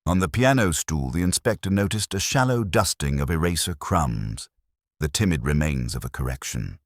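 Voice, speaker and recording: Very deep-voiced man narrating a mystery. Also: lively intonation, no echo, clean studio sound. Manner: measured, wry, deliberate. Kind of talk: scripted literary mystery narration.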